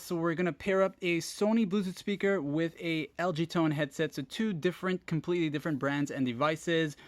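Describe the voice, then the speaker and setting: Male tutorial host, slightly high pitch, informal step-by-step delivery straight to viewers, dry close sound. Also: no echo. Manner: informal, addresses viewers directly. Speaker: host of a phone tech tutorial channel. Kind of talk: casual step-by-step tutorial narration.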